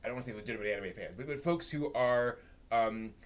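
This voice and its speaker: A male host with an average-pitched voice leads a casual discussion. He feels his way through each thought, hesitating and circling back as he goes. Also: quick pace. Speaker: live stream host.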